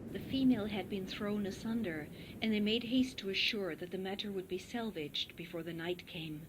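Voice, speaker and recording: A woman's voice: mid-range voice, normal speed. A woman reading a horror story as a narrator, in a dramatic scripted delivery. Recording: muffled recording with heavy noise.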